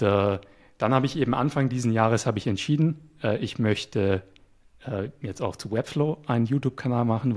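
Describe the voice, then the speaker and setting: A man as an interview guest, calmly explaining his work with a few fillers. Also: lowish voice, slightly rough. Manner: calm, explanatory, some fillers. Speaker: guest, web developer. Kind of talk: casual interview chat.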